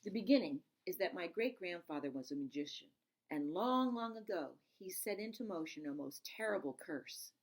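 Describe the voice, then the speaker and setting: A woman reading a storybook aloud in an expressive, emphatic narrating style. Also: fairly low pitch, normal speed. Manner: dramatic, emphatic, stops to explain words. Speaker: storybook reader.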